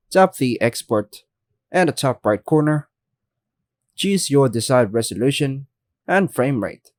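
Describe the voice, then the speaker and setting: A man narrates a scripted how-to guide at a slow pace, in a dry, close recording. His pitch is average for a male voice, and he gives each instruction plainly in short, separate phrases. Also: no echo. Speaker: tutorial host. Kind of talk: scripted narration, step-by-step tutorial.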